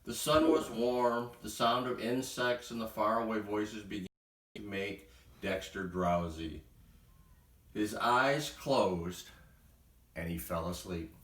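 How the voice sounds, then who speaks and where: A man reading a children's bedtime story aloud in an expressive storytelling style. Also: on the low side, takes it slowly. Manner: expressive, acts out sound words. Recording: roomy echo, distant from mic.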